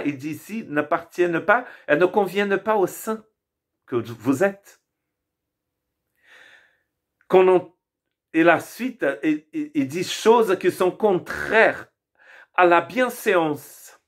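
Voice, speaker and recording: A man whose first language is Portuguese gives a daily Bible devotional as a pastor, earnest and preacherly, slowing down to stress key words. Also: on the high side.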